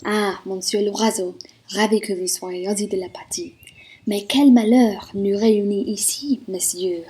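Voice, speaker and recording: Woman doing a polite posh count for a radio play, soft dark husky voice. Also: mid-range voice, steady tone, measured pace. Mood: courteous. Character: courteous aristocratic count, a man fleeing war. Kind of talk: student radio play acting.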